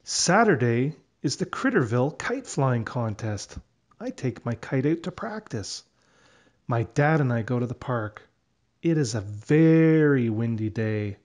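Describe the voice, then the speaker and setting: A man reads a children's storybook as its narrator. His pace is slow and deliberate, and his mid-pitched voice moves expressively up and down. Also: sing-song, a little mellow. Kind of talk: children's read-aloud narration.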